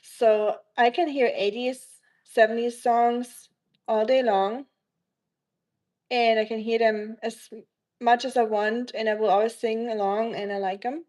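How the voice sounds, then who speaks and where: Streamer: woman, German accent, native German speaker, rambling, chatty, fairly high pitched. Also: fairly even intonation, darkish tone, highs cut off. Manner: rambling and chatty, quick asides, teasing. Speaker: livestreamer. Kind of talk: casual livestream chat answering viewers.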